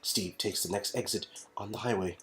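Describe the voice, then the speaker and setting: A woman's voice: rumbling low, moderate pace, fairly bright tone, faint music behind. A woman reading interactive game narration informally, in a casual, joking manner. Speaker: narrator and dialogue reader.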